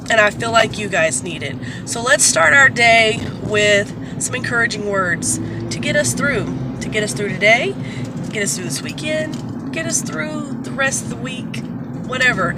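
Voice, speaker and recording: A woman chatting casually to her viewers from her car, congested with allergies and sounding deeper than usual, rambling with fillers. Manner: rambling, loose, with many fillers. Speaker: vlogger. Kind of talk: casual vlog chat. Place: parked or driving car, morning. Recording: steady car noise behind.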